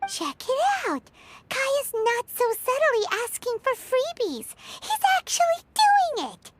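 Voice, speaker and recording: A woman voicing a tiny, excitable, childlike fairy companion in a video game, squeaky and exclaiming. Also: takes it slowly, very noisy, heavily acted. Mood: excited. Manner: refers to self in third person, exclaiming. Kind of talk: video game cutscene acting. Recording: music behind the voice.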